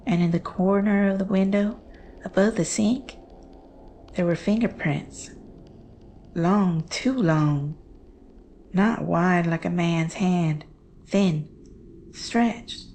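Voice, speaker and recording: A woman playing a grieving, haunted daughter who recounts her dread in a horror drama, halting and deliberate. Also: on the low side, warm tone, a little hiss or hum. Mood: uneasy. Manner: halting, deliberate, with weighty pauses. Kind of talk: slow dramatic horror monologue.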